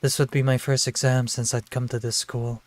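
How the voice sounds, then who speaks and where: A man's voice: mid-range voice, flat delivery, normal speed, dry recording. Congested man reading a visual novel. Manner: casual, sighing asides. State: congested, stuffy nose. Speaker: VTuber streamer.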